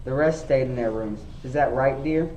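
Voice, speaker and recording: A man playing an earnest professor and family father, haltingly recounting a marvel in a radio play. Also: mid-range voice, darkish tone, close to an everyday voice. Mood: genial, recounting. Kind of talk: radio play storytelling in verse. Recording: echoey room, faint noise.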